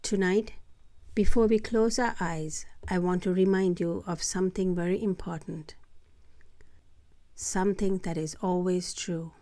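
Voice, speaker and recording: A woman gently guiding children through a scripted bedtime meditation, soothing and hushed, often close to a whisper, in short phrases with pauses. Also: lowish voice, steady tone, dry recording. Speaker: host of a children's sleep meditation.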